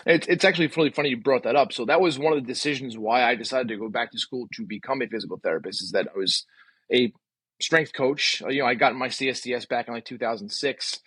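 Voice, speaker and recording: A man in his forties speaks as a podcast guest in a casual, emphatic way. His voice is bright and slightly rough, and he talks quickly. The sound is close and dry. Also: medium pitch, no room sound. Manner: opinionated, emphatic, uses rhetorical questions. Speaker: podcast guest, physical therapist.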